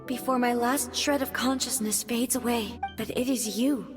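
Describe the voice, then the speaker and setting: A woman voicing a solemn, gracious goddess in a video game cutscene, speaking in a formal, measured, earnest way. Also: on the high side, steady tone, natural voice. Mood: regretful, tender. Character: solemn, gracious female deity of stately bearing. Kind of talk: English video game voice acting, dramatic cutscene. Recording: long reverb, loud game music.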